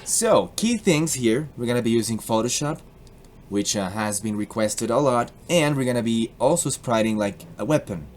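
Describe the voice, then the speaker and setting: A young man with a Colombian accent casually explaining a software tutorial, joking and slipping into put-on accents. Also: fairly low pitch, a little hiss or hum. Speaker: tutorial host.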